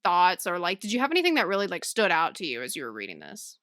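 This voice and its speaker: A woman's voice: fairly low pitch, huge pitch swings, fast talker, a bit bright, no echo, clean studio sound. A woman co-hosting a podcast, talking informally and thinking aloud in a book club chat. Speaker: podcast co-host.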